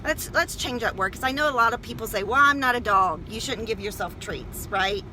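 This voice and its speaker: A woman with a fairly high, very bright and forceful voice speaks as a health coach to her online viewers. She is informal and emphatic, often checking in with the listener. There is some background noise behind her. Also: fairly quick, low-quality recording. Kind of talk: informal livestream talk to viewers.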